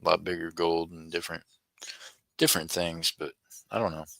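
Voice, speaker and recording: Man, forties, low bright voice, rambling live-stream chat, dry close sound. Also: level tone, normal speed, gravelly, dry recording. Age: 40s. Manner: rambling, chatty, talks to viewers by name. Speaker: coin roll hunter streaming live.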